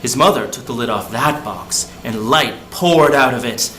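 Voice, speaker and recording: A male storyteller narrates a folk tale for children in short, simple phrases. His medium-pitched voice rings in an echoey room with faint noise behind it. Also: normal speed, sharp tone.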